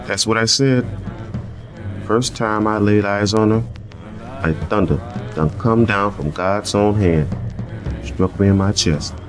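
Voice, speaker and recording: A weathered revenant, acted by a man, speaks low in folksy, halting dialect. He is humble and muddled, a lovestruck soul in a radio horror play, with music behind him. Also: steady tone. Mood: wistful.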